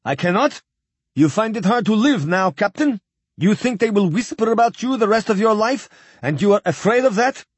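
A man's voice: high-pitched, lively intonation, normal speed, breathy edge, dry recording, voice pushed up. A man voicing a stern, cold older male fleet admiral who speaks in clipped sentences and drops his articles. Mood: scornful.

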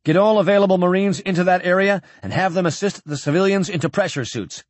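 A man's voice: high voice, fast talker, no echo, pitched above the performer's own voice, lightly acted. A man playing a decisive, dutiful warship captain in an audiobook, speaking tersely and urgently like a military officer. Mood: tense, dutiful. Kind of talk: audiobook character dialogue.

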